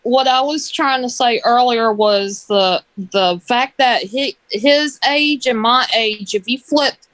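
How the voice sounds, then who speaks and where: A woman's voice: on the high side, takes it slowly, a bit bright, no room sound. A woman in her thirties, a guest chatting and joking over a video call. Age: 30s. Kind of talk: casual chat.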